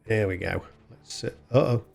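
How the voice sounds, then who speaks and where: A man's voice: lowish voice, normal speed, vocal fry, darkish tone, breathy edge. A man hosting a gaming video, chatting casually and thinking aloud as he plays. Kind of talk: casual game play commentary. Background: game music.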